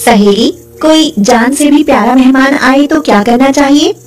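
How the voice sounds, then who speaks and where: A woman's voice: sits high, normal speed, soft background music, pitched above the performer's own voice, lightly acted. A woman voicing a naive, devoted young village sister in a moral folk tale, earnest and anxious in a sing-song way. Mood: innocent, puzzled.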